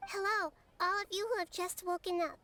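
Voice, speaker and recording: A woman voicing a gentle, wise young girl goddess who speaks softly and earnestly to her people in a video game. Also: very high-pitched, steady tone. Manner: soft, earnest, measured speech. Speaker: archon addressing her people.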